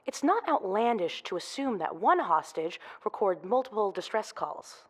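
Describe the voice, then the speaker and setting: A professional forensic expert with a middle-pitched voice. She answers carefully and precisely, in the formal manner of a scripted podcast interview guest. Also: big pitch swings, normal speed, breathy edge. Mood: cautious, professional. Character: cautious, professional female forensic audio examiner. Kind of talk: scripted audio drama interview.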